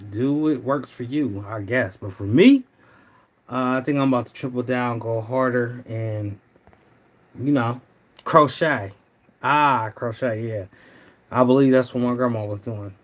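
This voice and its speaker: Middle-aged man, livestream host, slightly hoarse, relaxed and rambling, chats with viewers. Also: mid-range voice, a little slow. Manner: laid-back, rambling, talks to viewers. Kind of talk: casual livestream chat.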